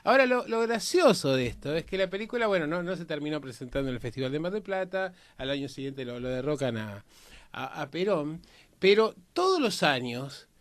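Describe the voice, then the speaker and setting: Higher-voiced Argentine man explaining. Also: very animated, brisk. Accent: Argentine Spanish. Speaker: panelist on a history and politics programme. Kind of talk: conversational anecdotal explanation.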